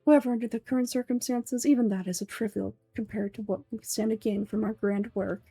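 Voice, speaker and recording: A woman's voice: fairly high pitch, fairly even intonation, a little fast, dark tone, breathy edge, no echo, faint music behind. A woman voicing a pompous, coldly calculating old male villain from a game, speaking formally and condescendingly. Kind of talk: amateur voice-over of game dialogue.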